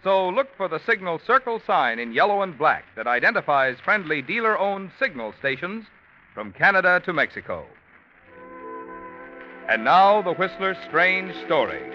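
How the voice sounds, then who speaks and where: Animated male vintage radio announcer. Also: moderate pace. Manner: emphatic sales pitch. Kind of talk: 1940s radio sponsor announcing. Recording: muffled vintage radio recording with music.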